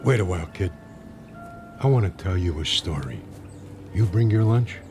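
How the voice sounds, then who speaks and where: An older actor playing a talkative former ballplayer who rambles through an old story, in a radio drama. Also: male voice, low-pitched, expressive, moderate pace, over music. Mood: reminiscing.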